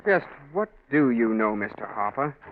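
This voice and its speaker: A man's voice: mid-range voice, big pitch swings, normal speed, close to an everyday voice. An American man playing a wary, challenging family confidant in a 1940s radio drama, recorded with old-time hiss and tinny sound. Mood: suspicious.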